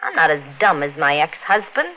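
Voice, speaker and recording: Hard-edged blackmailing widow, clipped demands, muffled 1950s radio sound. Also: female voice, low voice, very animated, brisk. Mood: cold demanding. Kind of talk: 1950s radio drama acting. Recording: dull old radio recording.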